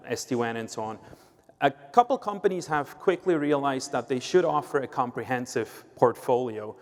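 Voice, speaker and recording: A man from Switzerland with a Swiss accent, a sales executive explaining things on a conference panel, with frequent "uh" and "right?". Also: mid-range voice. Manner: explanatory, uses filler "uh" and "right?". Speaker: sales executive, guest speaker. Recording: long reverb of a hall.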